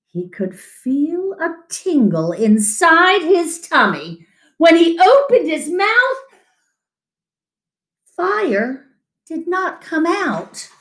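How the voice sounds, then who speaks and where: A middle-aged woman tells a children's story in a high, very animated voice. She reads slowly with dramatic pauses. The room gives a noticeable echo. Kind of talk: expressive picture-book reading. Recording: roomy home echo.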